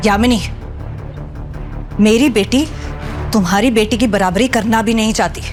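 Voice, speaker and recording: A woman playing a worried, protective middle-aged mother in an audio drama, measured, earnest and dignified. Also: on the high side, soft background music, close to an everyday voice. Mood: firm, protective. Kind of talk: Hindi audio drama acting.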